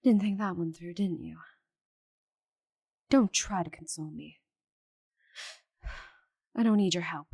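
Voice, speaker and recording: A woman voicing a proud, stubborn, bitter female dragon in a dramatic audio roleplay, with a breathy voice and haughty, sighing delivery. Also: on the low side, measured pace, pauses often, warm tone, exaggerated voice. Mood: bitter, fatalistic. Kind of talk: scripted audio roleplay monologue.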